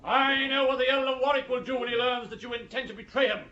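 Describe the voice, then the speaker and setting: A man's voice: very high-pitched, steady tone, fast, pushed and bright. A man playing a hot-headed, bigoted English chaplain in an audio drama, indignant and accusing. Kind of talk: audio drama acting of a stage play. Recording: dull audio in echoey room.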